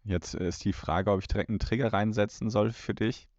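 A man in his thirties speaks in a very low voice as a podcast co-host. He moves between chat and reading aloud. The recording is a little dull, made in a room at home. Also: steady tone, a little fast, a little mellow, no echo. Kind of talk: podcast talk, partly reading a plot blurb.

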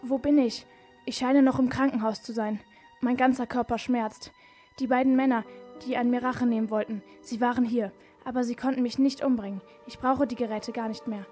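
Mellow high girl playing an injured, vengeful patient. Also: steady tone, brisk, soft background music, close to an everyday voice. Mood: grim, secretive. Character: injured male hospital patient, grimly bent on revenge. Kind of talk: amateur children's radio crime play.

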